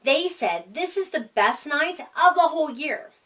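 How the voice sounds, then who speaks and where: A woman reading a children's storybook as an eager, excitable little female mouse with news to share. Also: sits high, unhurried, pitched above the performer's own voice, heavily acted.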